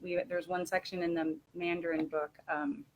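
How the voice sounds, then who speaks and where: A woman giving an informal instructional webinar talk as a teacher trainer, halting and correcting herself as she explains. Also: on the low side, flat delivery, slight background noise, low-quality recording.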